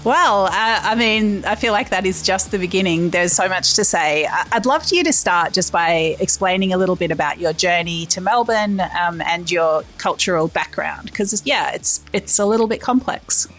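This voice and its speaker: A woman's voice: lowish voice, normal speed, few pauses, fairly bright tone. A woman hosting a food podcast, introducing and questioning her guest enthusiastically. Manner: enthusiastic, friendly, some fillers. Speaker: podcast host. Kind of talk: podcast interview.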